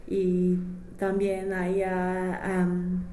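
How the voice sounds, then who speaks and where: A young woman with as her gives informal interview answers in a slow, hesitant way, feeling for the right words. Her pitch moves little and the room adds noticeable echo over faint noise. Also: monotone, dark tone. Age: young adult. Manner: hesitant non-native speech, searching for words. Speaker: interviewee, language student.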